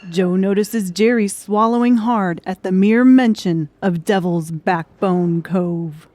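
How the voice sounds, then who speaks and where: A woman's voice: mid-range voice, slow, warm tone. Woman narrating a fiction audiobook.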